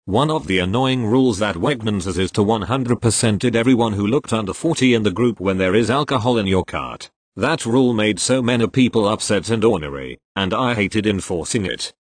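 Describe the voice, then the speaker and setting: A man reading online posts aloud as the narrator, ending each one with a low, quiet sign-off. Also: breathy edge, dry recording. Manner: plain storytelling read, drops low for sign-offs.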